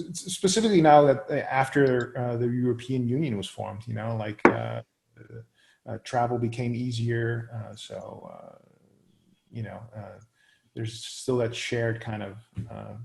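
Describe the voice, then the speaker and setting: Gravelly, hesitant man searching for words. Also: lowish voice, a little mellow. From Netherlands. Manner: many fillers and restarts, searching for words. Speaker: interviewee. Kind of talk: hesitant conversational answers.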